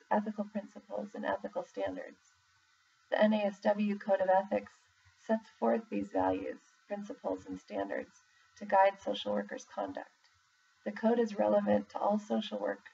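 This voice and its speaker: A woman reading a formal document aloud in a measured, deliberate way, pausing between phrases. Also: mid-range voice, vocal fry, mellow. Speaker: reader, social worker in exam preparation. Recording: muffled, distant mic with faint background noise.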